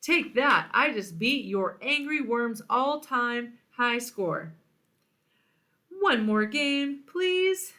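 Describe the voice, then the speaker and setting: A woman voicing a small, eager, self-absorbed male bird in a storybook, excitable and boastful. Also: on the high side, extremely slow, voice pushed up, exaggerated voice. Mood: excited.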